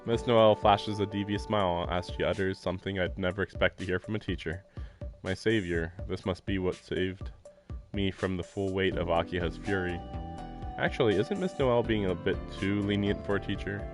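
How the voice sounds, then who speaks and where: A man's voice: deep voice, moderate pace, music in the background. A man streaming a game, chatting casually and reading its text aloud, laughing often and full of fillers.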